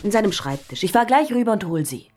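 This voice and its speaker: An actress playing a determined, scheming wife in a radio crime drama. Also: female voice, medium pitch, big pitch swings, fast, darkish tone, soft background music, close to an everyday voice.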